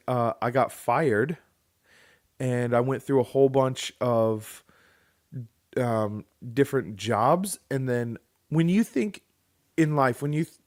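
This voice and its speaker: Male podcast co-host in his thirties, mid-pitched, rambling casual storyteller. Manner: rambling with restarts, joking asides.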